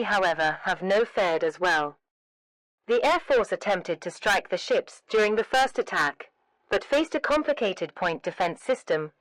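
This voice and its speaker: A woman speaks as an emergency broadcast announcer, with a medium-pitched voice. Her delivery is scripted, formal and solemn, each sentence measured out evenly. The sound is dry and close, with no room on it. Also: fairly bright tone, no room sound, low-quality recording.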